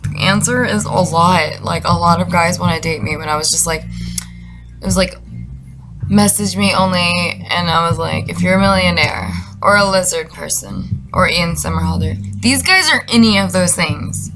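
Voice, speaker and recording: A woman's voice: lowish voice, moderate pace, music in the background. A woman giving a casual comedic monologue in a slangy, sarcastic way with plenty of swearing. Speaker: vlogger reading out messages she received.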